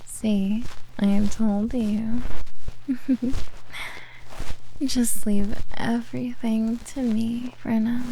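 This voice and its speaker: Lady acting a domineering monster girl captor for asmr, really slow n creaky, soft and coaxing. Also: fairly high pitch, a little hiss or hum, full character voice, rough recording. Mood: doting, pleased. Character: possessive, doting, menacing female beast-girl captor. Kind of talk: ASMR roleplay.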